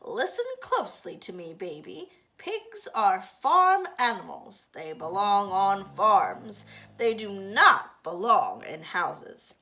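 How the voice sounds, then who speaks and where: Lady reading, doing a loud bossy older sister, stern, slow emphatic words, bright voice. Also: medium pitch, sing-song, full character voice. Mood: lecturing.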